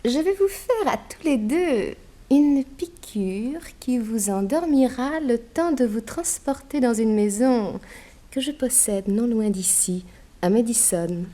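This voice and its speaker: Villainess in old radio drama, high voice, takes her time, smug and taunting. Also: animated, dark tone. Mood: menacing relish. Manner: mocking, slow, savouring threats. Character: cruel, gloating female master criminal, taunting and theatrical. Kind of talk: old radio crime serial acting.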